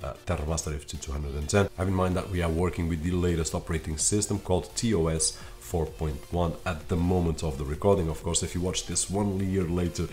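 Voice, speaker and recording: A man with a very low voice and a Portuguese first language talks viewers through tech gear from his home office desk. He is chatty and direct, with plenty of fillers, in his thirties. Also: fairly even intonation, fairly quick, few pauses, a little mellow, faint music behind. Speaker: tech reviewer. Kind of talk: tech review to camera.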